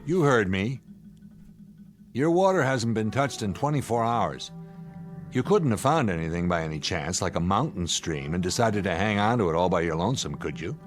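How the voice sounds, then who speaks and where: A man playing a weary, suspicious spaceship commander in a radio drama, gruff, curt and sarcastic. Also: fairly low pitch, animated, normal speed, soft background music, close to an everyday voice.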